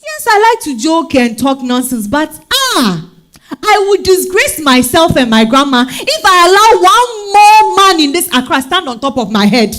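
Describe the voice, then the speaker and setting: A woman acting an animated, high-voiced, fed-up Ghanaian woman. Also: unhurried, full character voice. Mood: defiant, angry. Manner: animated, blunt. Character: outspoken, man-weary single woman in Accra, joking and fiery. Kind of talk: dramatized stage reading, monologue.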